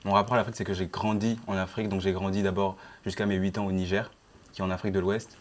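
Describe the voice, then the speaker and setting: Fast-talking young man from Benin. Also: low-pitched, flat delivery. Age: 20s. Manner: quick, assured, explaining. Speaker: engineering student guest. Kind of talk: casual group discussion.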